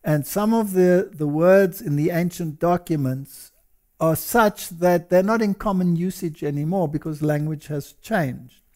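A man's voice: fairly high pitch, unhurried, darkish tone. An older man co-hosting a religious discussion, explaining points in a lecturing way. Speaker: co-host. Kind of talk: studio discussion.

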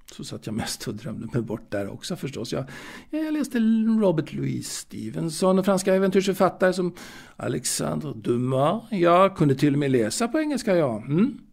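An actor playing an old man wistfully reminiscing about his boyhood in a halting, musing audio drama monologue, with a gravelly voice. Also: a little high, darkish tone, heavily acted. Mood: nostalgic, confiding. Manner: halting, musing, with hesitations and repeated words.

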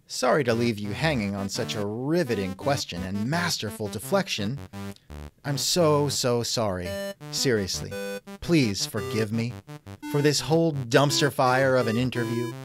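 A man's voice: mid-range voice, expressive, measured pace, music in the background. A man hosting a scripted podcast interview with a dry, sardonic manner, close on the microphone.

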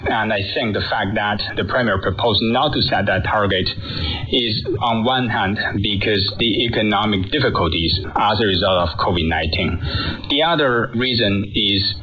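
A man gives measured explanations as a policy expert. The voice is on the low side and muffled by shortwave radio transmission. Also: bright, forceful tone, a little hiss or hum. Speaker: policy expert, interview guest.

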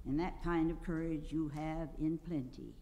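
A woman's voice: low voice, steady tone, moderate pace, dark tone, highs cut off. A woman voicing a meek little old humbug wizard with a hesitant, kindly, evasive manner in a storybook reading. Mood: mild, reassuring. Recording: long hall reverb, clear background noise.

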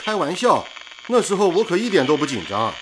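A man playing an animated, bantering wartime radio engineer in a radio drama. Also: sits high, fairly quick, noisy background. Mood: nervous. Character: teasing, lively male wartime radio engineer.

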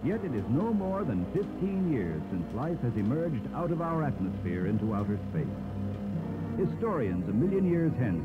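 Formal male narrator on a dull cassette, music behind. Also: medium pitch. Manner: formal, oratorical. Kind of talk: scripted documentary narration.

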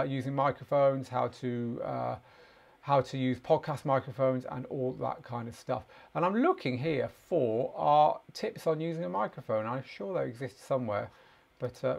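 A man's voice: mid-range voice, creaky, a little mellow. A man in his forties hosting a live chat stream from a small home studio, answering questions with a chatty, friendly manner and plenty of "uh" fillers. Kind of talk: live-stream Q&A, casual teaching. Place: small home studio with speaker and acoustic panels.

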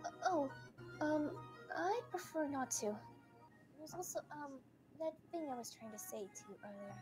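A woman voicing a shy young female grass snake creature with a halting, hesitant delivery in a comic dub. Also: sits high, music in the background, natural voice, rough recording. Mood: shy, nervous.

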